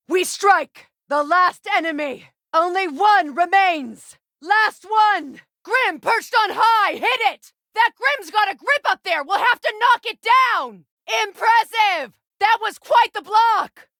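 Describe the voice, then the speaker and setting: A woman's voice: very high voice, bright, forceful tone, no room sound, heavily acted. An actress playing a fierce, commanding warrior woman archer, delivering combat callouts for a video game. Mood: fierce, rousing. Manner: commanding, urgent battle callouts with dry asides.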